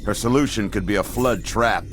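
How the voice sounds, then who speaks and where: An actor playing a stern, skeptical human military commander in a game cutscene, blunt, grave and authoritative. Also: male voice, fairly low pitch, moderate pace, slight background noise, close to an everyday voice. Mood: grave, insistent. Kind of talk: dramatic video game cutscene acting.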